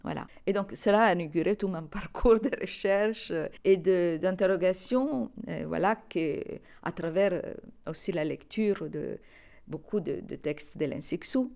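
A middle-aged woman, a researcher, telling her own path thoughtfully with pauses and fillers. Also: fairly low pitch, gravelly, a little mellow. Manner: thoughtful, hesitant, with fillers and laughs. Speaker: interviewee, researcher. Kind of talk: reflective personal account.

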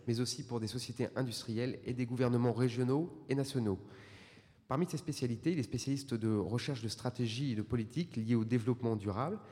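A man's voice: medium pitch, dark tone. A man acting as moderator reads a formal introduction of the guest speaker, with some hesitations. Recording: long reverb of a lecture hall.

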